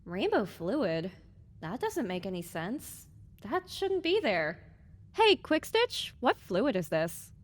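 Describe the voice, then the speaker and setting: A woman's voice: mid-range voice, slow, full character voice. A woman voicing a young pony heroine who is exasperated, curious and sarcastic, in an audiobook drama. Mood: puzzled. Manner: animated, indignant, questioning. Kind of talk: audiobook drama character acting.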